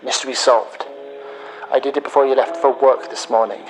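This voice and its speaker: A man playing a bitter hacker in a sci-fi audio drama, speaking deliberately with pauses as he accuses a former friend. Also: medium pitch, steady tone, soft background music, natural voice, rough recording. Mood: confessional, deliberate. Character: bitter male hacker confessing revenge to his betrayed friend.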